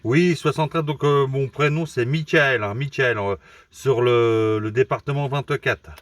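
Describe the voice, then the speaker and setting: A man giving halting, procedural replies over two-way radio as an amateur operator. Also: mid-range voice, no echo. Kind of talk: casual radio exchange. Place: mobile station. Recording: dry, distant-sounding radio microphone.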